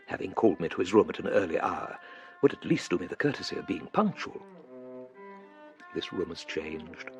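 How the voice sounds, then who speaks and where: An actor playing a gentle, self-doubting elderly English clergyman in a period radio drama, husky and hesitant, musing courteously. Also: mid-range voice. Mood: musing, uneasy. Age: older.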